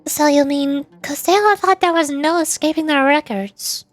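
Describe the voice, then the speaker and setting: A woman's voice: high voice, normal speed, faint music behind, lightly acted. A woman voicing a tough, blunt young female mercenary in a fantasy game, in an amateur read. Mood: shocked, disbelieving. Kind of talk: amateur voice acting of game dialogue.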